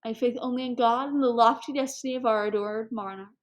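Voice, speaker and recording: A woman voicing an impulsive society hostess of about forty, animated and theatrical, in a storybook reading. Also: a little high, fast, lightly acted. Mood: impassioned. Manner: animated, impetuous, declamatory.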